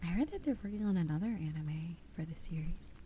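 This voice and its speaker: A young adult woman whispering close to the mic as an ASMR streamer, rambling and giggling as she chats with her live viewers, her voice a little raspy. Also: fairly low pitch, lively intonation, fast, mellow, a little hiss or hum. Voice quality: slightly raspy. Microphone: close sensitive ASMR microphone. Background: rain sounds.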